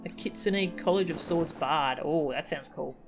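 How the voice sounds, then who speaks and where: Casting: woman game streamer, lowish pitch, casual chatty commentary, music playing behind. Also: normal speed.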